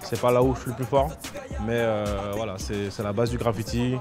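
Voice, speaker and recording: A man from French Guiana speaking casually as an interviewed graffiti artist, in a halting way with repeated words. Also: medium pitch, steady tone. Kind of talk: casual spoken interview about own work. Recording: loud music under the voice.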